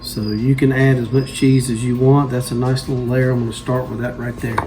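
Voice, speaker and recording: A man gives relaxed, folksy cooking instructions in a mid-range voice. He sounds like a home cooking host. A clear layer of background noise sits behind his voice. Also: medium pitch, a little fast, low-quality recording.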